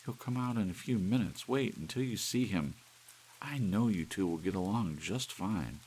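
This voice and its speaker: A man voicing a coquettish, excitable married woman in an audiobook, gushing and pleading. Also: sits low, moderate pace, voice pushed up, rough recording. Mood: fond, confiding. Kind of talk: audiobook character voice. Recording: steady rain hiss behind.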